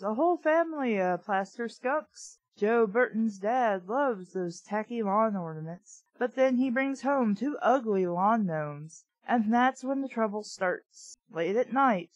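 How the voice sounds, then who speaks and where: Slow-paced woman reading aloud with snark. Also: medium pitch, no room sound, rough recording. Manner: snarky, rambling, conversational. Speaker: host reading a children's book aloud with commentary. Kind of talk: casual read-aloud with snarky asides.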